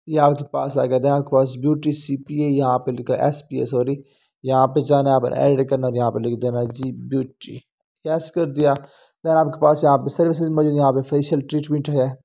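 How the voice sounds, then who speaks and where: Casting note: mellow-voiced man, chatty tutorial host with lots of fillers. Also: mid-range voice, creaky. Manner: chatty, many fillers and "theek hai ji". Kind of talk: phone screen-recording tutorial.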